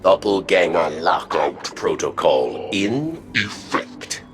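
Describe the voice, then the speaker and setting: An actor playing a cold, commanding robotic prison warden in a video game, speaking in clipped announcer-like commands. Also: male voice, low-pitched, sing-song, takes it slowly, a bit bright, full character voice. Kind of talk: scripted video game dialogue. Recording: music behind the voice. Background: game music.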